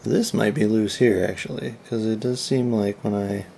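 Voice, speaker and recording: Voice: medium pitch, fairly even intonation, moderate pace, gravelly, warm tone. A host giving casual, off-the-cuff repair commentary, rambling and muttering while thinking aloud on a noisy recording. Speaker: video host repairing electronics.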